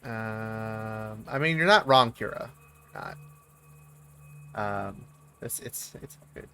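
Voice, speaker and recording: A man's voice: on the low side, slow pace, bright, forceful tone, soft background music. Rambling male gaming streamer. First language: not English. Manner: rambling, mumbling asides with fillers. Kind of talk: casual let's play commentary.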